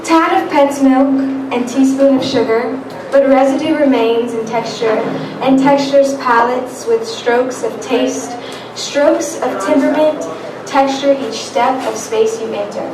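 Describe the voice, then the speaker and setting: A quiet-voiced woman reading her poem aloud at a live reading night. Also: high voice, fairly even intonation, a little slow, music in the background. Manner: quiet, soft-spoken. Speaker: student poet. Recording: echoey room, far from the mic, noisy.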